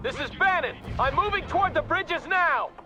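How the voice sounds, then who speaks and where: An actor playing a brash, cocky army officer talking excitedly by radio in a war video game. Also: male voice, very high voice, moderate pace. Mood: brash, urgent. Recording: muffled radio under battle and music. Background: music, artillery, vehicles.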